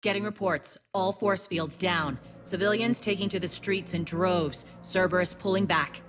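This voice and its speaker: A woman's voice: fairly low pitch, fairly even intonation, normal speed, over music, lightly acted. An actress playing an earnest, principled female militia leader in a video game, firm and military in manner. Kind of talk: video game cutscene acting.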